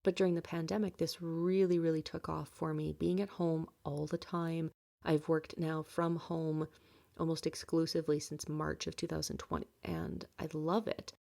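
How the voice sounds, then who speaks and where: A woman's voice: sits low, level tone, normal speed, vocal fry, warm tone. A woman in her late twenties hosting a podcast from home, talking candidly about her life with many fillers and light laughs. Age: late 20s. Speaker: podcast host. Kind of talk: personal reflective monologue.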